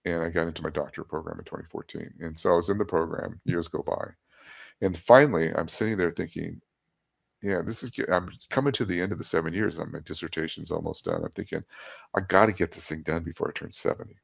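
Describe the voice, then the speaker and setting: Older man, 70s, low and gravelly, easygoing storyteller who jokes about himself. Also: steady tone, fast talker. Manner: chatty, self-deprecating, laughs at his own jokes. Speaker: guest. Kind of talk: casual storytelling interview.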